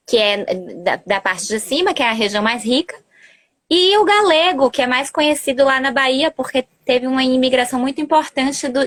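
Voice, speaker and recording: A talkative woman teacher explains things in a loose, chatty way, often stopping to correct herself. Her voice sits a little higher than most women's. It comes through a video call on a live stream. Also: fairly bright tone. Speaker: language teacher. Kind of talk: casual live-stream chat.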